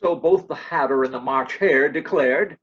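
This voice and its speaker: A woman's voice: rumbling low, moderate pace. A woman reading scripted narration for a readers' theater storybook piece, over dull lo-fi audio.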